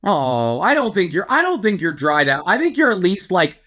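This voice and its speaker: A man's voice: sits high, sing-song, normal speed, pushed and bright. Man, podcast co-host, playful riffing, casual banter. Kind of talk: casual chat.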